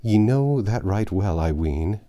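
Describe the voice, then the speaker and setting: A man's voice: fairly low pitch, lively intonation, a little slow, dark tone, dull audio. A man reading an audiobook as a grieving, starving peasant mother, telling of hardship in impassioned, archaic speech. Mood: indignant. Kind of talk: dramatic audiobook character reading.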